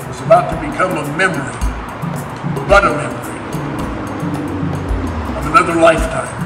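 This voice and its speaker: A man giving a solemn, declamatory dramatic stage reading. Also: high-pitched. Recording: roomy distant recording with music underneath.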